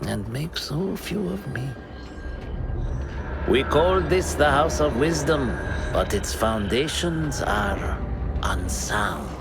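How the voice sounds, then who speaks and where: Game villain: obsessive scholar, coldly serene, theatrical, very animated pitch, unhurried, whispery drops. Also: male voice, vocal fry, full character voice. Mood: grandiose, menacing. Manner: theatrical, philosophizing, drifting into whispers. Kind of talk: dramatic video game cutscene acting. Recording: loud music under the voice.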